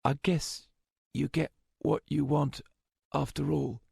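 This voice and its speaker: A man voicing a wounded young woman in an audiobook, quiet, soft and resigned with some bitterness. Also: on the high side, little pitch movement, slow, vocal fry, husky, dry recording, clean studio sound, pitched above the performer's own voice, exaggerated voice. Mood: weak resignation.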